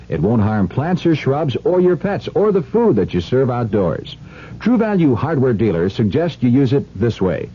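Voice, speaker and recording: A man reading a scripted product advertisement as a radio announcer. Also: mellow. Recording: muffled radio recording, faint rumble.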